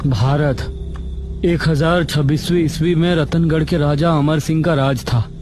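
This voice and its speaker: A man's voice: a little high, steady tone, measured pace, a little mellow, slight background noise, voice pushed up, lightly acted. A man voicing a young, educated, curious village schoolteacher in a cartoon story, speaking earnestly and with wonder. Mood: reading solemnly. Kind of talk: Hindi cartoon story dialogue.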